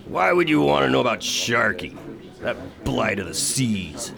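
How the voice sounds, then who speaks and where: A man acts a plain-spoken sea captain of old in a radio drama. His creaky, medium-pitched voice has a folksy sailor's dialect. A crowd murmurs behind him. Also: big pitch swings, unhurried, full character voice. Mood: grim. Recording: busy crowd noise behind voice.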